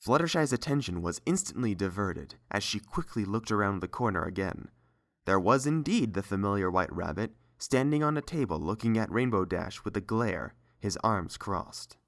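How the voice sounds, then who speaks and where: Very deep-voiced narrator reading a story. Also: expressive, normal speed.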